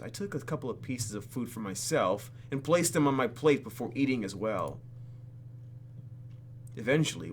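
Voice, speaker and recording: A man hosting a let's play, reading game text aloud and adding sarcastic, animated asides. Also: on the low side, a little hiss or hum. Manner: animated, sarcastic, exclaims and mutters. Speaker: YouTube gaming host.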